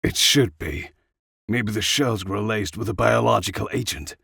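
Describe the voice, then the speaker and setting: Man acting a low, gravelly weary soldier. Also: normal speed, fairly bright tone, dry recording, studio quality, heavily acted. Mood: stubborn, grim. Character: Jack, weary, gruff, stubborn wounded male soldier.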